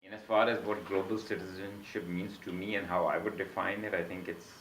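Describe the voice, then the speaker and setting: A young man answers slowly and thoughtfully. His voice is very low and flat in tone. The sound is roomy, with echo and faint hiss. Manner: slow and considered. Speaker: graduate student. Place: indoor room.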